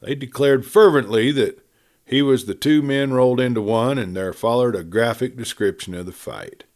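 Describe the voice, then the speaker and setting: A man reading a western story aloud in a dramatic, folksy, expressive storytelling manner. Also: medium pitch, normal speed. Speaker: audiobook reader.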